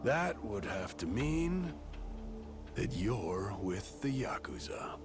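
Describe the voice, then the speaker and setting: A man playing a gruff, suspicious middle-aged barkeep in a video game, with a sardonic, grudging manner. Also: lowish voice, sing-song, slow pace, over music. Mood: wry, explanatory. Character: gruff, suspicious barkeep father, former treasure hunter. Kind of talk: English video game voice acting.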